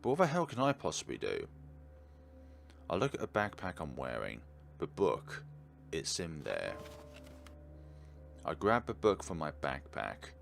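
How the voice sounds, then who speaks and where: A man with a deep, creaky voice narrates a visual novel playthrough. He reads dramatically and earnestly, with deliberate pauses, while music plays clearly behind him. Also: wildly swooping pitch. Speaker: video game playthrough host. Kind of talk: dramatic read-aloud of game text with his own commentary.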